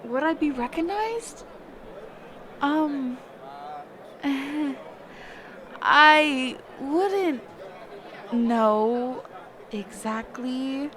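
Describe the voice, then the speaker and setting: A woman voicing a reclusive, socially awkward mage teacher in a roleplay audio, speaking hesitantly and evasively with trailing pauses and hums. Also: high-pitched, animated, fairly bright tone, a faint crowd, heavily acted. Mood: sheepish, evasive. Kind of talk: character roleplay audio, one side of a dialogue.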